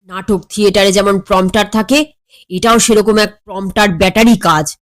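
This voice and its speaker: A woman's voice: mid-range voice, fairly even intonation, moderate pace, no room sound, studio quality. A woman narrating a comic story expressively.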